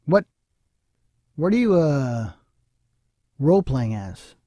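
A man's voice: fairly high pitch, big pitch swings, takes it slowly, mellow, no echo, muffled recording, exaggerated voice. A man playing an awkward, bewildered fellow pretending to be a cowboy in a comedic audio drama, hesitant in speech. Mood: baffled.

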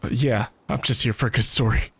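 Laid-back male game streamer, middle pitch. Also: steady tone, brisk, creaky, fairly bright tone, slight background noise. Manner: laid-back, joking asides. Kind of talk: casual livestream commentary. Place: small room at home. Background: faint game music.

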